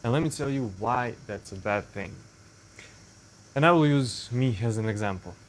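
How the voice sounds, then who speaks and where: A man's voice: mid-range voice, normal speed, slight background noise. A young man from Serbia with a Serbian accent, speaking English as a second language in a rambling, self-correcting casual monologue to the camera. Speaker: vlogger.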